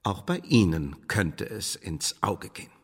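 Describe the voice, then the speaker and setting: An actor in a German radio drama playing a smooth, menacing Elizabethan English secret service chief, speaking in pompous, formal officialese with veiled threats. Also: male voice, low voice, animated, a little slow, full character voice. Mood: officious, persuasive. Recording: studio radio play with long reverb.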